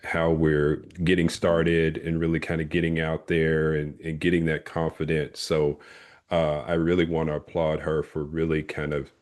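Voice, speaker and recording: Very deep flat-voiced man. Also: normal speed. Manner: hesitant, many "uh" and "kinda", supportive. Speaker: experienced coach. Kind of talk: casual group video call.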